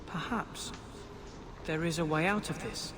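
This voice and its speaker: A man's voice: fairly high pitch, a little slow, a bit bright, a little hiss or hum. A man voicing an earnest, uncertain young mage in a video game. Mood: determined. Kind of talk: video game character dialogue.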